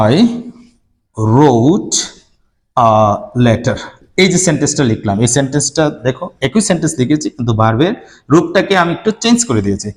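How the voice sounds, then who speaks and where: A man from Bangladesh teaching English grammar, addressing his students directly and repeating each rule step by step. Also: mid-range voice. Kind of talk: classroom grammar lesson to students.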